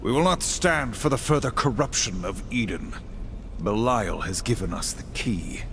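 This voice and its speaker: An actor playing a stern, solemn male warrior in a video game, speaking formally and with measured gravity. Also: medium pitch, lively intonation, fairly bright tone, slight background noise. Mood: solemn resolve. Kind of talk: video game dramatic acting. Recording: music under the voice.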